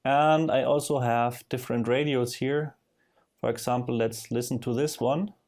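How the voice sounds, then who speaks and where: A man narrating a casual software screen demo in a halting way, thinking aloud as he goes. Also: medium pitch, fairly even intonation. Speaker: software demonstrator. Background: music from audio players being demonstrated.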